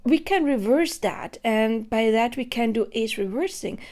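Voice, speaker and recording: A woman in her thirties talks to camera in a loose, spontaneous monologue. She is earnest and rambling, working out her thoughts as she speaks, in a voice pitched slightly above average. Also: expressive, a little slow, a little mellow. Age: 30s. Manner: earnest, rambling, thinks aloud. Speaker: spiritual vlogger.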